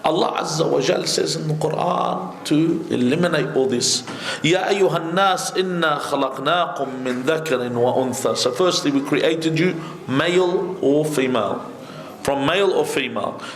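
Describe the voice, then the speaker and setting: A middle-aged man giving a conversational sermon from a seated position, speaking into a stand microphone with lively gestures and asides. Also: a little high, moderate pace. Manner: animated, conversational preaching with many asides, gesturing. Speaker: sheikh giving a majlis lecture. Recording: echoey hall.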